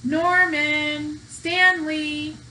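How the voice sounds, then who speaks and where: A woman's voice: sits high, little pitch movement, very slow, sharp tone, recorded from a distance, slight background noise, voice pushed up, heavily acted, rough recording. A woman voicing a protective, firm but caring father of pet dogs in a children's storybook. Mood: calling out.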